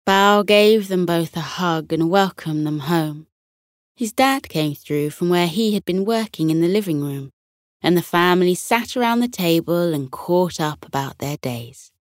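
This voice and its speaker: A woman narrating a children's bedtime story in a gentle, expressive storytelling manner. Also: on the low side, unhurried, no echo, studio quality.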